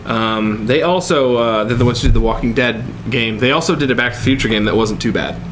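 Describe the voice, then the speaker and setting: A man's voice: medium pitch, brisk. A man co-hosting a talk show in casual, unscripted banter with a wisecracking manner. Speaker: co-host of a video game and movie talk show. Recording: faint background noise.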